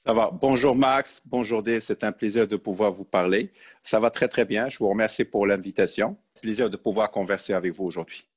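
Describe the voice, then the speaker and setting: A man's voice: fairly low pitch, brisk, husky. A Moroccan-born man in his forties, a podcast guest talking about his life in a hesitant, conversational way with many fillers. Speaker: podcast guest, sales manager. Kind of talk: conversational interview.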